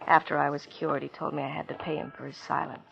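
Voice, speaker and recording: A woman's voice: low voice, flat delivery, fast talker, vocal fry, a bit bright, heavily acted. An actress playing a bitter, world-weary young woman in an old radio drama, wry and vulnerable. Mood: wry, confessional. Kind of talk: 1950s radio drama acting. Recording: dull old radio recording, music underneath.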